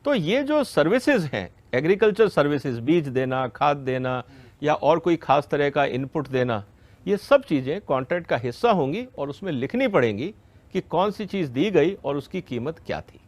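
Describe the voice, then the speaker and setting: A man's voice: on the high side, big pitch swings, moderate pace, dry recording. A man giving an educational question-and-answer explanation, didactic and step by step, often checking that listeners follow. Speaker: expert explaining a law to farmers.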